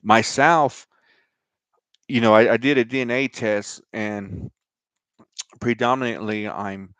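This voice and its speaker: A middle-aged American man with a low, smooth voice, recorded close and dry. He hosts his own show, moving between scripture reading and informal personal talk. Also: fairly even intonation, slow, a bit bright, dry recording. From Denver, Colorado, United States. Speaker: show host and scripture reader.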